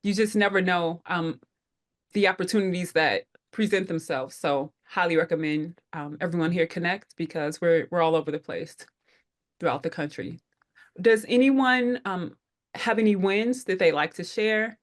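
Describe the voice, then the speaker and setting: A woman's voice: fairly low pitch, normal speed. A woman hosting an online group call, welcoming people in an upbeat way with frequent fillers. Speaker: head of operations, meeting host.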